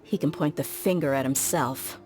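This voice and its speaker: A woman's voice: deep voice, moderate pace, a little mellow, natural voice. An actress playing a tough young desert mercenary in a game, dry and blunt in the way she talks.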